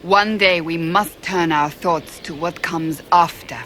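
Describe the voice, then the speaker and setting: An actress playing an earnest, devout warrior woman in a video game, speaking in a measured, formal, resolute way. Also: lowish voice, a bit bright, slight background noise. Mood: resigned, resolute.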